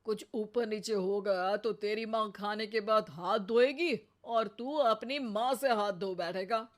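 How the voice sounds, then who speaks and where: A woman's voice: medium pitch, measured pace, natural voice. A woman voicing a sarcastic older father-in-law in a cartoon, teasing his wife. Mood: mocking.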